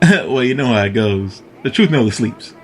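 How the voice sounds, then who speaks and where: A man's voice: medium pitch, expressive, normal speed, soft background music, close to an everyday voice. An actor playing an earnest, friendly young newspaperman sidekick in a 1920s radio drama, speaking politely. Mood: amiable.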